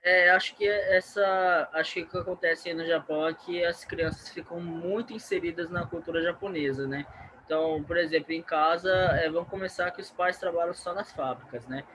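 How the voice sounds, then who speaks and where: Young Brazilian man, bright higher voice, relaxed chatty guest. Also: brisk, low-quality recording. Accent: Brazilian Portuguese. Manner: conversational, frequent "né" tags. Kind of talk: casual interview chat.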